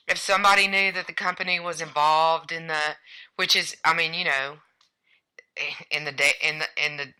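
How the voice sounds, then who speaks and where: A woman with a strikingly low voice that still rings bright and sharp. She chats casually as a podcast co-host, musing aloud and tossing in dry jokes. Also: steady tone, moderate pace, clear, resonant tone. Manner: conversational, hedging with fillers, wry asides. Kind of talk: casual chat about cybersecurity.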